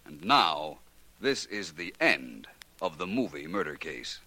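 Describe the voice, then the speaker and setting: An actor playing a confident, urbane American detective in a 1940s radio drama, suave, articulate and theatrical. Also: male voice, sits low, very animated, a little slow, heavily acted. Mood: explanatory, assured. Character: confident, urbane male detective explaining a murder case. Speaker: lead detective. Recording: echoey, faintly noisy 1940s radio recording.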